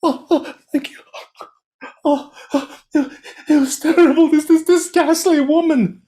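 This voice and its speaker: A man's voice: very high voice, a little fast, darkish tone, pitched above the performer's own voice. A man doing a frantic, stammering merchant. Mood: terrified. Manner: pleading, stammering, breathless. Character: panicked kind male merchant, high and frantic.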